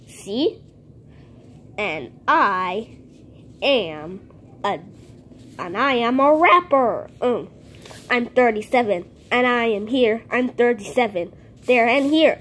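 Boastful male number block who raps in sing-song chants, played by a woman in a high voice at a home table. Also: husky, exaggerated voice. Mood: proud. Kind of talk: playing with paper cutouts. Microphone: handheld phone camera close to table.

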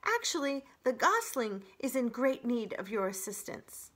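A woman's voice: on the high side, very animated, measured pace, fairly bright tone, husky, voice pushed up, full character voice. A woman voicing a friendly female robot in a storybook, speaking in a cheerful, polite, formal and earnest way. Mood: cheerful, booming.

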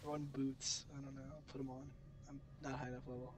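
A young adult man chatting casually while he plays, joking and swearing in a halting way. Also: medium pitch, little pitch movement, noisy background. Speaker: gamer co-hosting a play session. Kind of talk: casual chat during online gameplay.

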